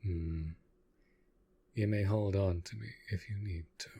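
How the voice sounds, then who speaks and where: Man voicing a low, unhurried courtly vampire master. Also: fairly even intonation, mellow, husky, full character voice. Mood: courteous, guiding. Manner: measured, formal, deliberate phrasing with pauses. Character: courtly, protective male vampire master of a manor. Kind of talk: roleplay audio drama, addressing the listener.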